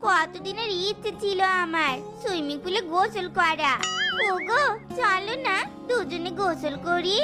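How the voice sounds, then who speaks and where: A woman's voice: extremely high pitch, a little slow, loud music behind the voice. A woman voicing a dramatic, bossy fox wife in a cartoon, with an exclaiming, theatrical manner. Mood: delighted coaxing. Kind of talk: cartoon character voice acting.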